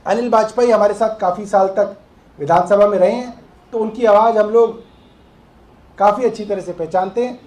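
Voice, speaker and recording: A man speaking as a political spokesperson at a press conference, explaining deliberately with pauses. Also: high-pitched, steady tone, darkish tone. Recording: roomy, echoing press room sound.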